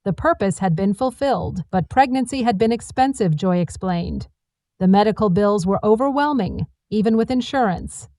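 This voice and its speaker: A woman's voice: mid-range voice, a little mellow, dry recording. Woman narrating a dramatic story, dry sound. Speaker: narrator of a first-person family drama story.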